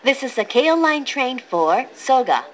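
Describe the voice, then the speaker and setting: Slow, formal woman train announcer. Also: high voice, very animated. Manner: formal, clearly enunciated. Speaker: English-language train announcer. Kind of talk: scripted recorded train announcement. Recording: recorded aboard a train, clear background noise.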